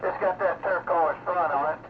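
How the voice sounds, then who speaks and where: A man's voice: fairly high pitch, fairly even intonation, moderate pace. A man with a Southern US accent calling in for casual, folksy chat over CB radio. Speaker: CB radio caller. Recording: tinny, distant, very noisy CB transmission. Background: clear radio static.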